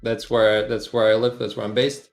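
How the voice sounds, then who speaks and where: Young European male guest, hesitant and filler-heavy, lowish voice in a roomy echo. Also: little pitch movement, normal speed, faint music behind. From Europe, half Serbian half Czech. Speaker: guest, aircraft developer. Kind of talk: casual podcast interview.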